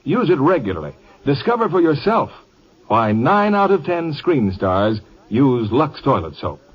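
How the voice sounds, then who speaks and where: A man delivering a scripted advertising pitch as a mid-century radio announcer. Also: sing-song, a little slow. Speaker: commercial announcer. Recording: dull, husky-sounding old radio recording.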